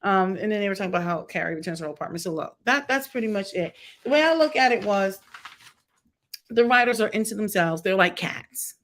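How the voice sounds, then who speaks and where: A woman's voice: medium pitch, lively intonation, fairly quick, a bit bright. A middle-aged woman giving candid, casual opinion commentary as a vlogger, talking in a chatty, blunt way with mocking asides. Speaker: vlogger reviewing a TV show.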